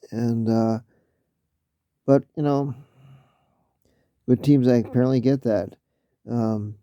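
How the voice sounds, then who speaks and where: A man giving casual spoken commentary on football, halting with many fillers and restarts, clearing his throat often as he loses his voice. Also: mid-range voice, warm tone, dry recording, dull audio. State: losing his voice. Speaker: vlogger talking football.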